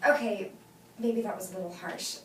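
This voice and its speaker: An actress playing an exasperated but fond woman gently turning down her best friend, in naturalistic, hesitant film dialogue. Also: medium pitch, moderate pace, natural voice. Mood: gentle, apologetic. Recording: roomy, distant mic with faint noise.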